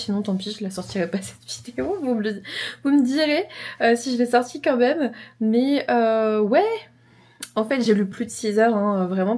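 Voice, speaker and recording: A woman's voice: fairly high pitch, darkish tone. A young woman with a slight cold, chatting casually and enthusiastically in her vlog, rambling and repeating herself. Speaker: book vlogger.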